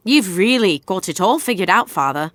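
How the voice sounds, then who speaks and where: An actress playing a wry young daughter in a modern audio drama, polite with dry irony. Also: female voice, medium pitch, expressive, moderate pace, fairly bright tone, dry recording, close to an everyday voice. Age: young adult. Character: wry young daughter humouring her overbearing father.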